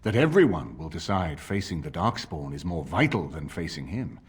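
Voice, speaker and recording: An actor playing an older nobleman and war leader in a video game, speaking formally and with measured authority. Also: deep voice, sing-song, husky, heavily acted. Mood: grave, reasoning. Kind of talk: dramatic video game dialogue.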